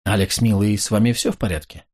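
A man's voice: lowish voice, fairly even intonation, fast talker, darkish tone, breathy edge. A man reading the lines of a shy, hesitant woman crime writer with an Alabama accent.

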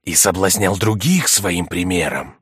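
A man's voice: sits low, lively intonation, fairly bright tone, slightly rough, dry recording, clean studio sound, heavily acted. A man voicing a stern, mysterious heavenly accuser, solemn and threatening, in an audiobook. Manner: slow, menacing, solemn.

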